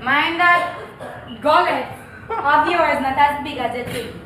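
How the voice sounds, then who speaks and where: A woman's voice: high-pitched, slow, pushed and bright, heavily acted. A young woman with a Jamaican accent playing a sassy housemaid in a comedic stage play. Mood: teasing. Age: young adult. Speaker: stage actress. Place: theatre stage with audience. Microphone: distant stage microphones. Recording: echoey noisy theatre, distant mics, audience.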